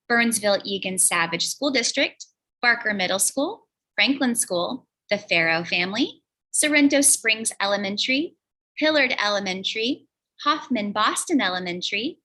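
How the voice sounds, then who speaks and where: A woman hosting an educational show for schoolchildren, reading a scripted introduction in an upbeat, clear, sing-song way. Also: mid-range voice, sharp tone. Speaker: host.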